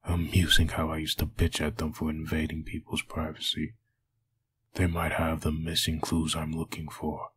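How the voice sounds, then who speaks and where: A man voicing a brooding, vengeful undead antihero in a husky growl, delivering a grim inner monologue for a comic dub. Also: extremely low pitch, completely flat delivery, moderate pace, fairly bright tone, slight background noise.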